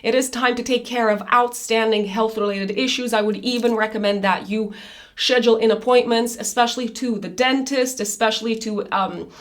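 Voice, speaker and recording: A woman reading a monthly horoscope in a chatty, loose way, her voice raspy after an illness. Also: on the high side, fairly even intonation, moderate pace. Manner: chatty, loose, with many fillers and restarts. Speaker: astrologer.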